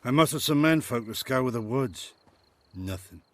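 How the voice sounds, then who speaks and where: A man's voice: medium pitch, animated, normal speed, no room sound, lightly acted. A man playing a troubled, defensive village hunter in a video game, speaking in clipped rustic phrases.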